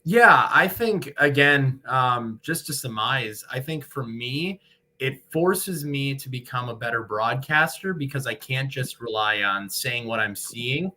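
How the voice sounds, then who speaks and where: A man's voice: medium pitch, lively intonation, unhurried, bright, forceful tone. An American man, a sportscaster from Michigan, talking casually and at length as an interview guest. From Michigan, United States. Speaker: sportscaster, radio play-by-play broadcaster, interview guest.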